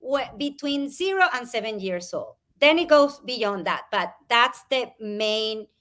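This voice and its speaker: Woman, guest coach on a live video call, high voice, animated and expressive. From Spain, raised in Venezuela. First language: Spanish. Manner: animated, expressive, quick to laugh. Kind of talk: live interview, explaining a method.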